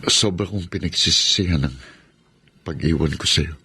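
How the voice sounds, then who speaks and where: An actor playing a remorseful man in a radio drama, pleading in a halting, regretful way with his former lover. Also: low voice, pushed and bright, husky, heavily acted. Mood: hesitant, pleading. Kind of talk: Filipino radio drama acting. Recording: music behind the voice.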